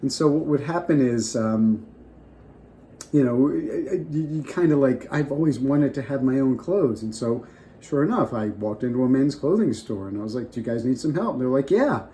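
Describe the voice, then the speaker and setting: Older man with a mellow voice, rambling. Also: mid-range voice, expressive, normal speed, a little hiss or hum. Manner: rambling, restarts often, off the cuff. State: getting over a cold. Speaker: vlogger. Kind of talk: casual advice talk to camera.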